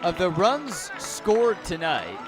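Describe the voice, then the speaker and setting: A man calling baseball play-by-play live on the radio, phrasing deliberately and swelling on the big plays. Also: high-pitched, wildly swooping pitch, over music. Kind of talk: live radio sports commentary. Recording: noisy outdoor ballpark with crowd. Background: ballpark crowd noise.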